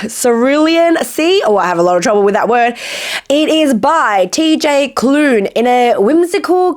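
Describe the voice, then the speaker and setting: A woman reviewing books in a casual vlog, chatty, wry and opinionated, her voice a little croaky after being ill. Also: sits high. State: slightly croaky from illness. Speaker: book reviewer.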